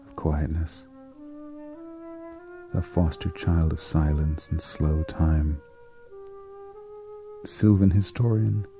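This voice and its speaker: A man reads verse in a low, very mellow and dark voice. His delivery is slow and solemn, breaking the lines into short, deliberate phrases with dramatic weight. Faint flute music plays behind him. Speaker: poetry reader. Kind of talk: slow, dramatic poetry recitation.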